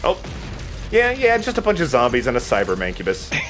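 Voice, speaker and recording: A man's voice: medium pitch, wildly swooping pitch, fairly quick, a bit bright. A man giving casual, sweary commentary while he plays a video game. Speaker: let's play host playing the game. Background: game music.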